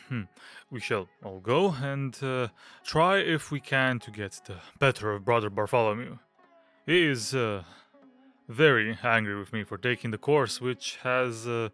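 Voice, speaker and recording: An actor playing a nervous, fussy gentleman aesthete in an audio drama, hesitant and stammering in his delivery. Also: mid-range voice, no room sound, natural voice. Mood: anxious, fussy. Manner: hesitant, stammering, nervous giggles and throat-clearing.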